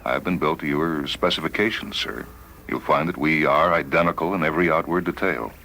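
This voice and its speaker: A man voicing a polite, dutiful android double of a spaceman in a dramatised story, speaking in a formal, measured, deferential way. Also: a bass voice, bright, forceful tone, slight background noise, full character voice. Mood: calm, deferential. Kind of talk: dramatised short-story reading.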